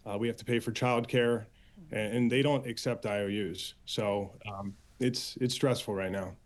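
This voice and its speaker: An American man answering as an interview guest and union spokesman, earnest and halting, with frequent filler pauses. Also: lowish voice, steady tone. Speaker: air traffic controllers' union official, interview guest.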